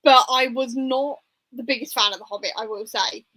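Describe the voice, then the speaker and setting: A woman's voice: a little high, moderate pace, pushed and bright. A woman hosting a casual book discussion, speaking informally and giving her opinions with plenty of hedging. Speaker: book discussion livestream host.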